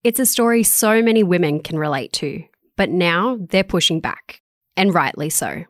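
An Australian woman narrates a written article. Her voice sits a little low, and the sound is very dry. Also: animated, moderate pace, dry recording. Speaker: writer reading own article.

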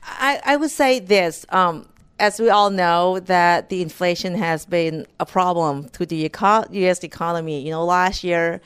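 A woman's voice: on the low side, a little slow, clear, resonant tone. A woman from Thailand with a Thai accent, answering interview questions as an economist, earnest and restarting her words often. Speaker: corporate economist, guest.

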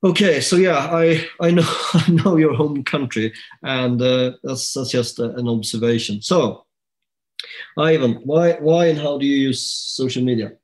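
Middle-aged man, podcast host, relaxed chatty interview voice, mid pitch. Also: a little slow. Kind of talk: casual interview.

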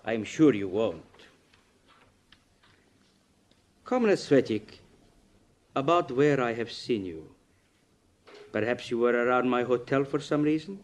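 A stern Soviet agent acted by a man who addresses his underlings with deliberate, condescending authority. His menace is controlled, in the style of a 1950s radio play, on a vintage recording. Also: medium pitch, animated, close to an everyday voice. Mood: quietly menacing. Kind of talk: 1950s radio drama acting.

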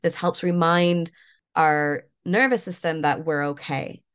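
Croaky congested woman, kinda low voice, chill coach vibe, lots of "like" and "you know". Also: unhurried. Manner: relaxed, many fillers like "like" and "you know". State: stuffed up, croaky. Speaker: mindfulness coach and yoga teacher, guest. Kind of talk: casual interview talk, guiding an exercise.

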